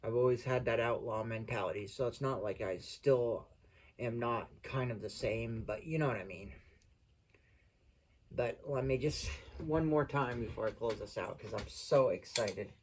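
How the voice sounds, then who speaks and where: A man's voice: medium pitch, moderate pace, low-quality recording. A man chatting casually to his viewers as a channel host, rambling along in a folksy, self-deprecating way. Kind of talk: casual vlog chat to viewers.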